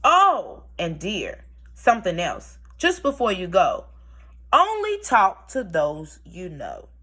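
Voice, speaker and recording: A woman's voice: lowish voice, huge pitch swings, takes it slowly, a bit bright, exaggerated voice. A woman voicing a caring, cautioning storybook mother, with a singsong, instructive delivery.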